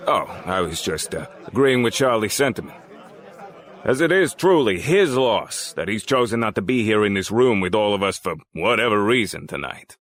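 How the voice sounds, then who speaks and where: A man's voice: on the low side, lively intonation, moderate pace, fairly bright tone. A man playing a cold, menacing crime boss in an audio drama, speaking in a controlled, condescending and sardonic way. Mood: dry, sardonic. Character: cold, menacing male crime boss hosting powerful guests. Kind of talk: scripted audio drama dialogue.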